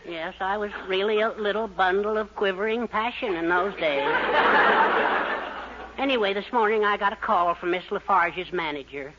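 A man's voice: sits high, normal speed, close to an everyday voice. An actor playing a fretful, scheming middle-aged man with flowery speech in a 1940s radio sitcom. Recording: noisy, muffled 1940s radio recording.

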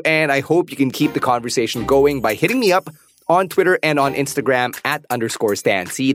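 A man hosting a podcast in a conversational style. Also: medium pitch. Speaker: podcast host.

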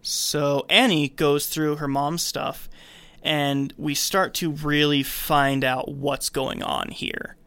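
Male podcast host, higher-than-average voice, dry sound, casual storyteller narrating a film recap. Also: little pitch movement, slow, a bit bright, clean tone, dry recording.

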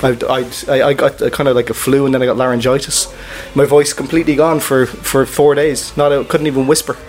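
A man hosting a casual chat podcast from a pub, talking in a chatty, animated way. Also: medium pitch, faint music behind. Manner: chatty, animated, quick storytelling. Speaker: podcast host and voiceover trainer.

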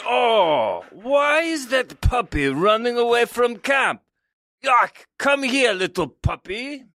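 An actor playing a dim, friendly soldier in an exaggerated comedic cartoon style. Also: male voice, very high voice, big pitch swings, slow pace, sharp tone, no room sound, studio quality, full character voice. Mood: curious, friendly.

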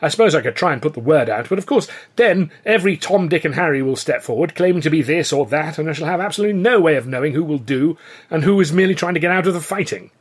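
A man's voice: a little high, expressive, fast talker, husky, voice pushed up. Man doing a harried posh army captain. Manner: clipped upper-class officer's speech.

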